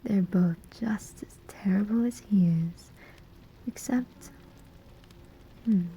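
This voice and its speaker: A woman's voice: mid-range voice, mellow, a little hiss or hum, rough recording. A woman playing a sly, menacing female demon who collects souls, in a teasing whispered ASMR roleplay. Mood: condescending, menacing. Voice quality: breathy whisper. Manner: slow, deliberate, teasing.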